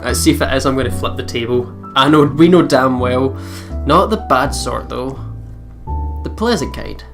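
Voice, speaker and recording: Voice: mid-range voice, normal speed, vocal fry, over music. A casual let's play host chatting and bantering in slangy commentary.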